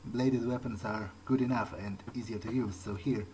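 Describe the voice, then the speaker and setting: A man's voice: lowish voice, fairly even intonation, normal speed, mellow, slight background noise, rough recording. A man roleplaying in character as he plays a video game, musing to himself in a hesitant, conversational way. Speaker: let's player. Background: game soundtrack music.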